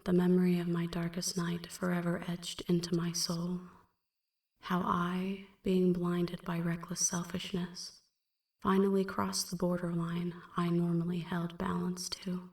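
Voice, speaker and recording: Girl doing a brooding vampire lady, asmr half-whisper, really slow n low, echoey. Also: completely flat delivery, distant mic. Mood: anguished, remorseful. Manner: hushed, breathy half-whisper, measured and brooding. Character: melancholy female vampire recounting her dark past. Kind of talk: ASMR roleplay, slow dramatic first-person narration.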